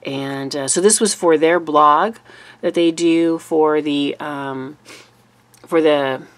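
A woman YouTuber recovering from a cold, her voice deeper than normal, chatting casually through a monthly craft recap at her desk. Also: takes it slowly. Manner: chatty, relaxed, with fillers. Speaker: papercraft YouTuber. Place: desk in a room, overhead camera on a cutting mat.

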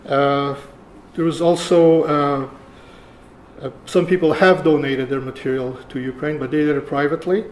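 An older man, around seventy, giving a conference lecture in a halting, deliberate way. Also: on the high side, fairly even intonation. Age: older, about 70. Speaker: keynote speaker, archivist. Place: lecture room, indoors. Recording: roomy echo, faint room noise.

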